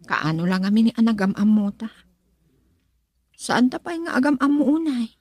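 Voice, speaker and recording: A female actor with a Filipino accent and a breathy voice, playing a guarded, dutiful young woman in a romantic radio drama. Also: a little high, very animated, normal speed, dark tone, no room sound. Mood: torn, reserved.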